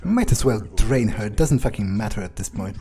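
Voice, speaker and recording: A man's voice: on the low side, big pitch swings, fairly quick, warm tone. A man roleplaying his game character aloud, musing to himself with cold, menacing understatement. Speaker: gameplay video player.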